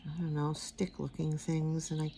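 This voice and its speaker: An older woman with a quiet, soft-spoken voice giving a casual painting tutorial, rambling and trailing off into mumbles as she works. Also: deep voice, flat delivery, normal speed, vocal fry, slight background noise. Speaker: watercolor instructor.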